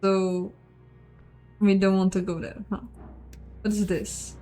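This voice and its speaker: A woman's voice: medium pitch, flat delivery, slow, warm tone. A woman giving casual, chatty let's play commentary, thinking aloud in a self-deprecating way. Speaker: host of a game playthrough, voicing the dialogue. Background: game music.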